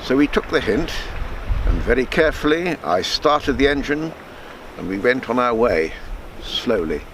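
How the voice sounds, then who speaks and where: An older man reading a true sea tale aloud as a storyteller, measured, sometimes stumbling and repeating words. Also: medium pitch, sing-song, a bit bright, a little hiss or hum. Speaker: storyteller reading his book.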